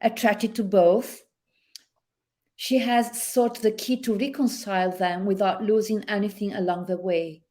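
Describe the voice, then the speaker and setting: Italian-accented female author, reflective and halting; guest reading her own prose remotely. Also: mid-range voice, fairly even intonation, mellow. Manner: thoughtful, hesitant with many fillers. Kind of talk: interview and reading from her novel.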